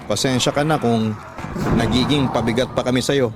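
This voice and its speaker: A man's voice: medium pitch, fairly even intonation, normal speed, lightly acted. A man with a Filipino accent voicing a worried, hesitant mother from the province in a radio drama. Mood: sad, pleading. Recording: background music under the voice.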